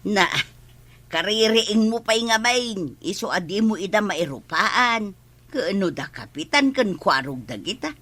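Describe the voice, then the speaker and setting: A woman plays an excitable village gossip in an Ilocano comedy. Her middling-pitched voice is bright and tense, with very lively swings as she calls out and chatters. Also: a little slow. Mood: casual. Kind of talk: Ilocano comedy drama acting.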